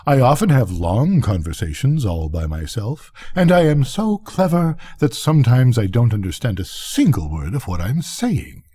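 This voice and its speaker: Man as vain talking firework, haughty, self-important, animated pitch, husky, storybook character. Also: normal speed, hardly any pauses. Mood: self-satisfied. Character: pompous, conceited male firework rocket, haughty and vain.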